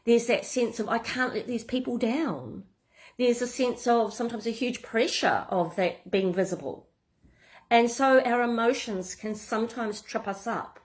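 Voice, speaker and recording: A woman from New Zealand with a clear Kiwi accent talks through a business tip. Her delivery is loose and chatty, full of "you know" and small restarts, like a coach thinking aloud. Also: a little high, a little slow. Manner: relaxed and conversational, with frequent fillers and restarts. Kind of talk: talking-head business tips.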